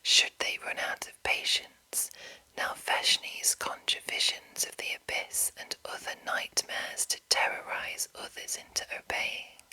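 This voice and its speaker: A woman hosting whispered ASMR, speaking in a soothing, breathy whisper, with a sore, breaking throat from being under the weather. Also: sharp tone, low-quality recording. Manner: slow, soothing, deliberate delivery. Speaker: ASMR channel host. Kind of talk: whispered ASMR, reading and commenting.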